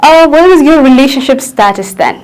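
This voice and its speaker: A woman in her twenties with an Ethiopian accent, teaching English and switching languages, slowing down to spell out phrases. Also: high voice, expressive, a little mellow, rough recording. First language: Amharic. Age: 20s. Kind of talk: bilingual English lesson.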